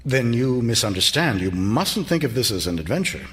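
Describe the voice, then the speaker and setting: A man playing a condescending, controlling Victorian physician husband in live radio theater, brisk and authoritative. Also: mid-range voice, sing-song, fairly bright tone. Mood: reassuring, insistent.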